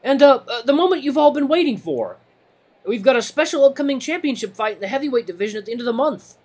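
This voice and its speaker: A man voicing a timid, nervous little male rabbit making announcements in an audio story, halting and stammering. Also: very high-pitched, big pitch swings, darkish tone, pitched above the performer's own voice, heavily acted. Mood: hesitant.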